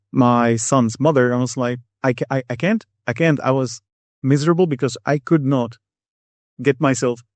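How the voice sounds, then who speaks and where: A middle-aged Czech man with a Czech accent, a guest in an interview, telling a story in a rambling, animated way and acting out what people said. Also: mid-range voice, no echo. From Czech Republic. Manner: rambling, animated, acts out quotes. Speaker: guest, Air Force master sergeant.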